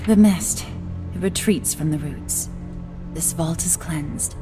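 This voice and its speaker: A woman's voice: low-pitched, slow pace. A woman playing an earnest, watchful nature-attuned heroine in a video game, speaking in clipped phrases. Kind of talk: video game character acting. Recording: prominent music behind the voice.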